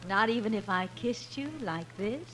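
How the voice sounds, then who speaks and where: Woman acting coy, lively college beauty. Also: mid-range voice, normal speed, lightly acted, rough recording. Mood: coy, flirtatious. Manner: coy, seductive. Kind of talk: 1950s radio comedy acting. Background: music.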